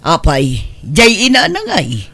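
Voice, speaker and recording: An outspoken, generous madam boss in a Filipino drama acted by a woman, with an Ilocano accent. Her voice is husky and swoops dramatically up and down as she talks briskly. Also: on the low side, wildly swooping pitch, sharp tone, no room sound, exaggerated voice. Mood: scolding. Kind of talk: Filipino audio drama acting.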